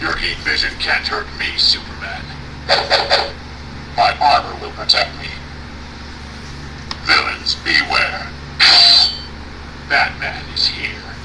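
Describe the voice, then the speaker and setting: An actor playing a menacing armored superhero vigilante with a gravelly, electronically deepened voice, in toy sound samples. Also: male voice, sing-song, takes it slowly, pauses often, heavily acted. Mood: menacing, defiant. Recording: very noisy toy speaker playback.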